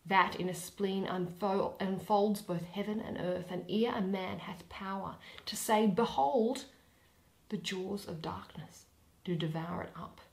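A young woman giving an expressive dramatic reading of a Shakespeare play, with theatrical, deliberate phrasing and pauses. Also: mid-range voice, gravelly, rough recording. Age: young adult. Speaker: reader.

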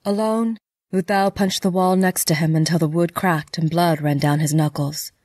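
A woman's voice: fairly low pitch, steady tone, normal speed, background noise. A woman reading scripted narration for an audio drama.

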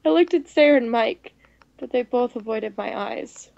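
A woman gaming co-host with an expressive delivery, reading visual novel dialogue aloud. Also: fairly high pitch, normal speed, gravelly, highs cut off.

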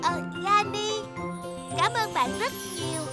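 A performer voicing an excitable, emotional small boy in a dubbed children's cartoon, wide-eyed and expressive. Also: very high voice, unhurried, over loud music. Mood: amazed, thrilled.

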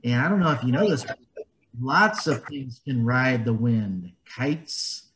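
A man reading a picture book aloud to young children, gently explaining it in simple words and asking them questions. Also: mid-range voice, expressive, measured pace, slight background noise, rough recording. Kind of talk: storybook read-aloud with explanations.

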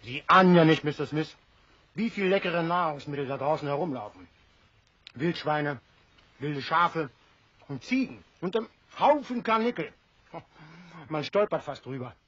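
An actor playing a hearty, grumbling but good-natured sailor with a rough, hoarse voice in a radio play. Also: male voice, on the high side, expressive, normal speed, fairly bright tone, no echo, lo-fi sound. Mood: jovial. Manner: blustering, colloquial.

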